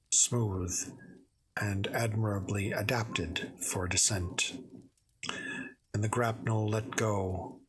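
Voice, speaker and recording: Low-voiced man, a bit hoarse, reads an old story on stream; measured, steady, faint hiss behind. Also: rough recording. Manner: measured reading with small slips and self-corrections. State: a little hoarse. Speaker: streamer reading stories aloud. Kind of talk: reading a classic story aloud on a livestream.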